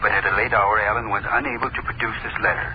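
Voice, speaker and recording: An actor playing a newsreader who reads a court report in a brisk, matter-of-fact announcer style, in a 1930s radio drama. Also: male voice, lowish voice, fairly even intonation, close to an everyday voice. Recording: dull hissy 1930s radio broadcast.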